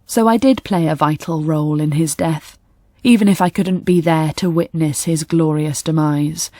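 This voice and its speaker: A woman's voice: low-pitched, moderate pace, dark tone, no room sound. An actress playing a dry, sardonic, composed young woman killer narrating her story in an intimate radio drama. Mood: smug, wry.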